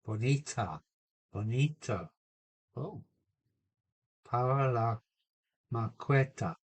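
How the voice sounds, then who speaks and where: A man with a cold chatting casually on a home live stream from his loft, answering viewers and trying out halting Spanish phrases. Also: medium pitch, expressive, husky. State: has a cold. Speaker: model railway live streamer.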